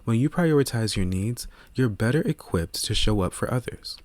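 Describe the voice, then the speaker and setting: A man reading scripted audiobook narration in a measured, earnest way, close to the microphone. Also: mid-range voice, animated, a little mellow, no echo.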